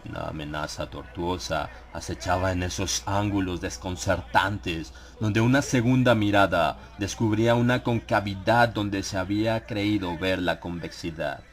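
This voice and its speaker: A man narrating a dramatized horror audiobook in a solemn, measured manner with suspenseful pauses. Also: low-pitched. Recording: clear ambient sound effects behind the voice. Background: atmospheric horror sound effects.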